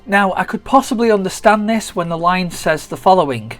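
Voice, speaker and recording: A gaming channel host giving a measured, explanatory, scripted narration for a video essay. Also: mid-range voice, expressive. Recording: music behind the voice. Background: background music.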